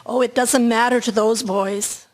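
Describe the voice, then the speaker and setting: Unflappable, higher-pitched army matron, played by a woman. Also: fairly even intonation, unhurried, lightly acted. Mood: matter-of-fact. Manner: matter-of-fact, instructive. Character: brisk, seasoned, unflappable army hospital matron. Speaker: actor in a radio drama. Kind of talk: period radio drama acting.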